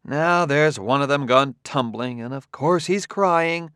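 A man reading an audiobook, voicing a brisk, matter-of-fact woman who runs a children's farm, easily exasperated. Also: a little high, fairly bright tone, smooth, dry recording, clean studio sound, voice pushed up. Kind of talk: audiobook character voice.

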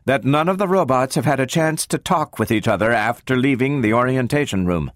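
A commanding woman scientist from an audiobook, played by a man. The voice sits raised in pitch, clipped and precise, with an exacting, imperious edge. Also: lively intonation, a little fast, dry recording, studio quality, natural voice. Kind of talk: dramatic audiobook character reading.

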